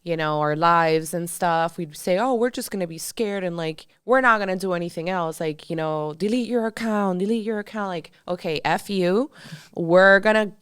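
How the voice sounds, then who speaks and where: A woman's voice: lowish voice, moderate pace, fairly bright tone, clear, resonant tone, dry recording, studio quality. A woman in her thirties from Colombia, co-hosting a podcast in chatty, emphatic talk with many fillers. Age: 30s. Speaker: podcast co-host. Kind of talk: casual podcast chat.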